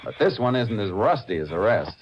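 An actor playing a calm, authoritative Texas Ranger in a 1950s radio western, firm and terse, with an American accent. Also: male voice, lowish voice, a little fast, dull audio. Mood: firm, questioning. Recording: very noisy old radio recording.

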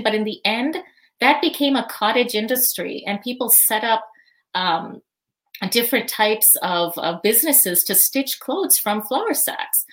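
Thoughtful woman guest, easy laughs. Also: mid-range voice. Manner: thoughtful, warm, laughs easily, frequent fillers. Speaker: podcast guest. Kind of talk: casual interview answers.

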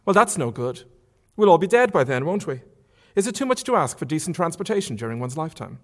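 A man's voice: on the high side, sing-song, fast, a bit bright, pitched above the performer's own voice. A man reading aloud who voices a forthright, chatty young woman with a lifted, lilting tone and emphatic questions. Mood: brisk. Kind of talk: author reading a novel aloud.

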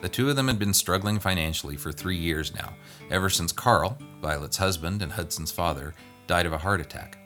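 Male narrator for a scripted story, deep voice, light plucked-string music behind. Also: brisk, sharp tone. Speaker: guest reader.

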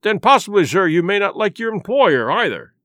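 A man's voice: high-pitched, sing-song, moderate pace, fairly bright tone, no room sound, studio quality, full character voice. A man doing a blustering proud squire. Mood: indignant. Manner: bluff, indignant. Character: blustering gentleman squire, proud and quick to anger.

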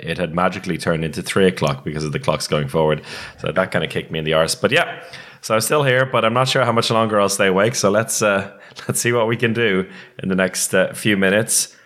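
A man's voice: low voice, a bit bright. A man hosting a casual sports podcast, chatting with his co-hosts. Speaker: podcast host.

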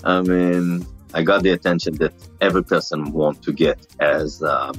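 Deep mumbling man with an Israeli accent. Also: little pitch movement, moderate pace, breathy edge, soft background music. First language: Hebrew. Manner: blunt, loose storytelling with curse words. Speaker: interview guest, celebrity impersonator. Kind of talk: casual interview.

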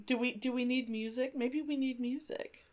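A woman's voice: high-pitched, steady tone, moderate pace, a little mellow. A woman co-hosting a podcast in casual, joking chat, blunt and informal in the way she talks. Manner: blunt, informal, swears freely. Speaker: podcast co-host.

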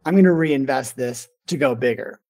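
A man's voice: mid-range voice, moderate pace, a little mellow. A man hosting a casual podcast interview, talking informally and rambling a little, with "like" sprinkled through his sentences. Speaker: podcast host and business owner.

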